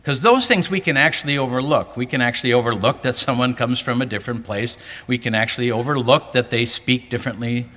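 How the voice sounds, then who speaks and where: Male pastor, earnest sermon delivery, bright and forceful, reverberant convention hall. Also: fast, few pauses. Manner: earnest, rising pitch for emphasis. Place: large hall, preaching to a convention.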